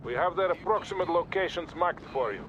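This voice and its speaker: A man's voice: on the high side, expressive, brisk, dull audio, lightly acted. Man acting a stern Russian colonel amid battle noise. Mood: firm, commanding. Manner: commanding, clipped orders. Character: stern, weary Soviet colonel commanding troops. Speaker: military commander in a game. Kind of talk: scripted video game cutscene acting. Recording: loud battlefield noise behind.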